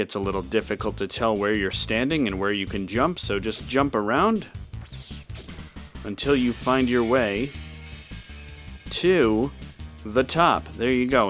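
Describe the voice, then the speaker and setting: A man's voice: fairly low pitch, normal speed, a bit bright. A man giving casual walkthrough commentary on a video game. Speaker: gameplay walkthrough host. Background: game music.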